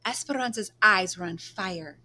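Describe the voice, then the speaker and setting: A woman's voice: mid-range voice, normal speed, bright, forceful tone. A woman storyteller reading a children's book aloud.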